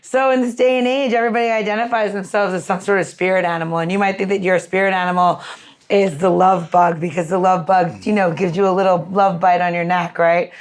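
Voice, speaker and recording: A woman's voice: fairly low pitch, fairly even intonation, a little fast. A woman co-hosting a podcast in casual, bantering chat, joking and salty-tongued. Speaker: podcast co-host.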